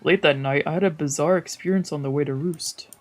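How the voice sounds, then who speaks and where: A woman's voice: sits low, brisk. A woman VTuber streamer reading visual novel narration aloud in a dramatic storytelling style.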